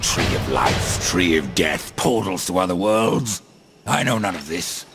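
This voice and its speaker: An actor playing a grim, proud rider of death in a video game, terse, brooding and menacing. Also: male voice, low-pitched, big pitch swings, unhurried, exaggerated voice. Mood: suspicious. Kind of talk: video game cutscene acting. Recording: music behind the voice.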